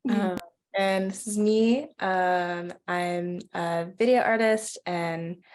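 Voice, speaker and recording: Lower-voiced woman from Queens presenting. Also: steady tone, takes it slowly, darkish tone. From Queens, New York. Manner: casual, reflective, with fillers. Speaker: video artist and poet. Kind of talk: video call project presentation.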